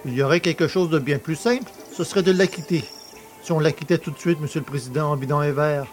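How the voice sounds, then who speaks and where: An actor playing a jovial, boastful country poacher in a French audio drama, chummy, cheeky and colloquial. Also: male voice, fairly high pitch, quick pace, faint music behind, natural voice. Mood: cheerful, familiar. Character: jovial, boastful, familiar rural poacher just freed.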